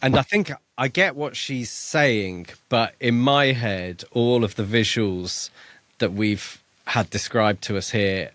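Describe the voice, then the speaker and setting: A man's voice: medium pitch, takes it slowly, a bit bright, dry recording. A man co-hosting a book podcast, summarizing and chatting casually with stammering restarts. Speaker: podcast co-host.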